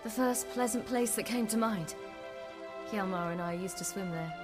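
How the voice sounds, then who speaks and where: A woman's voice: mid-range voice, natural voice. Woman voicing a guarded young swordswoman, matter-of-fact storytelling, game dialogue w/ music under. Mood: recounting. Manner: measured, matter-of-fact recounting. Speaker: game character. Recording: game audio over music.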